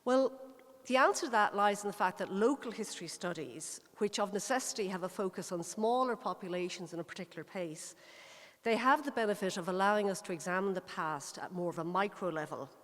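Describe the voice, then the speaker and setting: A middle-aged Irish woman from Ireland gives a measured, explanatory local history talk to an audience. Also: mid-range voice. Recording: long hall reverb.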